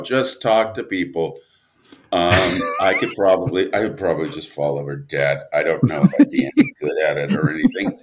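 A man with a very deep voice talks at a very unhurried pace. He is an interview guest thinking out loud, casual and reflective. His delivery rambles and has a modest, self-deprecating streak. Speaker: interview guest, audio drama writer and producer.

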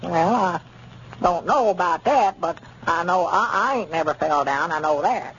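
An actor playing a folksy, slow-witted small-town man with a rural Southern accent in a 1930s radio comedy. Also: high voice, lively intonation, a bit bright, breathy edge, heavily acted. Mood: flustered, rambling. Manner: hesitant, rambling country talk. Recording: muffled, noisy 1930s radio recording.

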